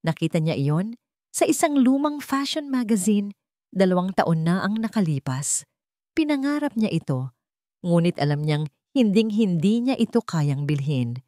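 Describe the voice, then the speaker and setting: A woman's voice: lowish voice, a little mellow, no echo, studio quality. A woman with a Filipino accent narrating a scripted dramatic story.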